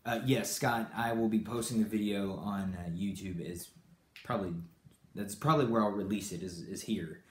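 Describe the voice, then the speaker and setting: A man's voice: fairly low pitch, moderate pace, roomy sound, slight background noise. A man who grew up in Brazil, hosting a live stream and answering viewers in a rambling, casual way with many fillers and restarts. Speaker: channel host.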